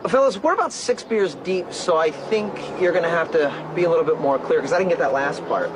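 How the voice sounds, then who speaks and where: Man playing a high, lively, baffled bar drinker. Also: brisk, background noise, close to an everyday voice.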